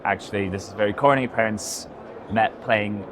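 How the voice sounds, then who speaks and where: A man's voice: lowish voice, moderate pace, fairly bright tone, slight background noise. A young man with an Irish accent talking casually as a startup founder being interviewed. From born in America, grew up in Ireland. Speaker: podcast guest, startup founder. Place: indoor event venue, recorded in person.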